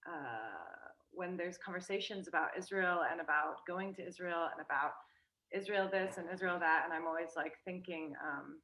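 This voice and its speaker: A woman's voice: lowish voice, normal speed, sharp tone. A young woman, a guest in a casual interview, talking hesitantly with many fillers and restarts. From United States.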